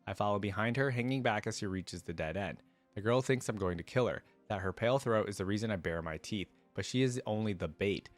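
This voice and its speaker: A man's voice: on the low side, no room sound. A man hosting a reaction video, reading a story aloud and adding casual asides.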